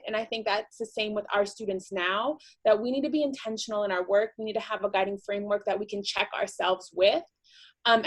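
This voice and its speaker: A woman's voice: medium pitch, a bit bright. A woman from East Los Angeles speaking sincerely and reflectively as a panelist on a video call. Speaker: webinar panelist. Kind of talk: earnest panel remarks.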